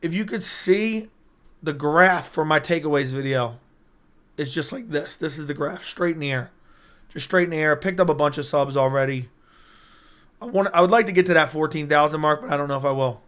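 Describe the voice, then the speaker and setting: A young man talks loosely to his livestream chat, rambling and hyped, answering comments as they come in. His voice is strained and keeps cutting in and out, though its tone underneath stays clear. It sits a little high for a man. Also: brisk, a bit bright. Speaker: MMA YouTuber hosting a livestream. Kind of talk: casual livestream chat reading viewer comments. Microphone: personal streaming mic.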